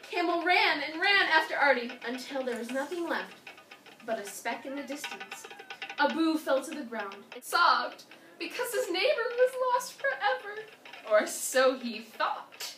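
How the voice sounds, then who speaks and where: A woman tells a storybook folktale with a very lively, swooping delivery. She is expressive and emphatic. The recording is roomy, picked up some way from the mic, with faint noise. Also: high-pitched, measured pace, recorded from a distance, faint music behind. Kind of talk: dramatic storybook narration of a folktale.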